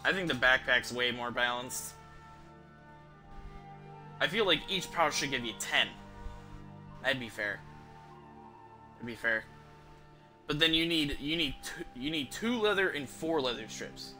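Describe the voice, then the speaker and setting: A man's voice: mid-range voice, lively intonation, a little slow, pauses often, sharp tone. A man in his thirties giving casual, sweary game commentary, thinking aloud as he plays on a stream. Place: indoors at home, streaming setup. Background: game music.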